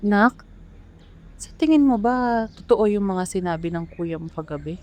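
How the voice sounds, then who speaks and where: Woman acting mellow, defensive Filipino mother. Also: medium pitch, animated, moderate pace, lightly acted. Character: defensive middle-aged mother of three, long-suffering. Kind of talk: Filipino amateur radio drama acting.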